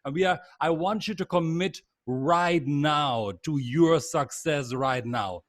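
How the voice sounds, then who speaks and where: Energetic German-accented male trainer. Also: on the high side, slow, no echo, studio quality. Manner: energetic, repetitive, encouraging. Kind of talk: live online training seminar. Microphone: computer mic on a video call.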